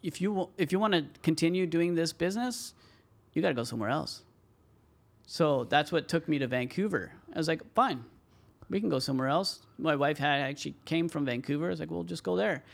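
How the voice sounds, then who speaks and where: Gravelly-voiced tradesman telling stories. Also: fairly high pitch. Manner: rambling, many fillers and "you know". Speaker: tile contractor, podcast guest. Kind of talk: casual interview storytelling.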